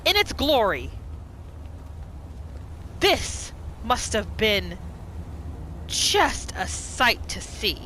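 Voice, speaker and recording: A woman hosting a roleplay gaming video, giving casual, expressive commentary as she plays. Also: fairly high pitch, very slow, long pauses, slight background noise. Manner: expressive, reactive. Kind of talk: casual let's play commentary mixed with in-character roleplay. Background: game music.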